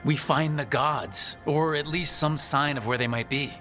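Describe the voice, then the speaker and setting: A cautious leader of adventurers played by a man in a fantasy game. His voice is slightly high and level-headed, with faint music behind it. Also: measured pace, natural voice. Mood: steady, sober. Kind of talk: game dialogue acting.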